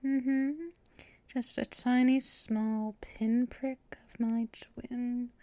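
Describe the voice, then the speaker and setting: A woman voicing a possessive, teasing female vampire mistress in an ASMR audio roleplay, half-whispering in a breathy, intimate way. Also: on the high side, level tone, extremely slow, a little mellow, dry recording.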